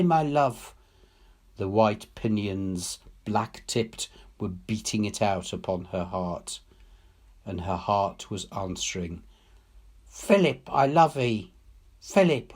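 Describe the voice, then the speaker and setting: A man reading literary fiction aloud as a storyteller, in a measured, solemn manner, phrase by phrase. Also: lowish voice. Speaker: story reader, teacher.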